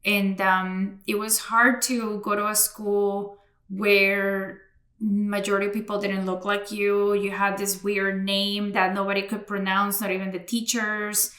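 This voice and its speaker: Bright-voiced chatty woman, echoey room. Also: mid-range voice, flat delivery. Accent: American. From Colombia. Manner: chatty, lots of fillers and restarts, quick to laugh. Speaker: guest. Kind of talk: casual interview chat.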